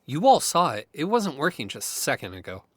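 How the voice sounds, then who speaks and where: An actor playing a shaken, suspicious man in an audio drama, speaking haltingly and with exasperation. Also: a little high, huge pitch swings, no echo, studio quality. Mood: accusing, exasperated. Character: Greg, shaken, suspicious man unnerved by strange events.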